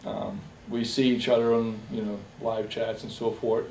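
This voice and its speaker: Soft-voiced Bosnian-born man, halting, roomy echo. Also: lowish voice, flat delivery, creaky. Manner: halting, many fillers and restarts. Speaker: interviewee. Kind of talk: personal interview testimony. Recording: roomy echo, distant from the mic, faint hiss.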